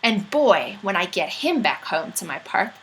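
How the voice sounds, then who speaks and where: A woman's voice: mid-range voice, big pitch swings, moderate pace, fairly bright tone, a little hiss or hum, low-quality recording. A woman reading a rhyming children's picture book aloud in a playful, theatrical storytelling manner.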